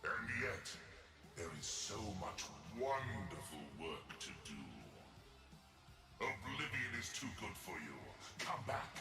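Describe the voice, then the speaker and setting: An actor playing a gloating, bloodthirsty cosmic demon in a video game, grandiose, theatrical and cruel. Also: male voice, fairly low pitch, very animated, slow pace. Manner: grandiose, savoring, theatrical villain. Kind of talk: video game villain voice lines. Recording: echoing, distant, music underneath.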